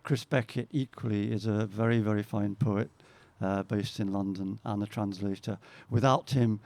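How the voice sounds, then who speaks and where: A man hosting a poetry event, with a soft, mellow tone and a fairly low pitch. He gives informal spoken introductions in an earnest, halting manner, and his voice sounds dry and close. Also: fairly even intonation, creaky, dry recording. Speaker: event host introducing poets. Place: outdoors, live poetry reading.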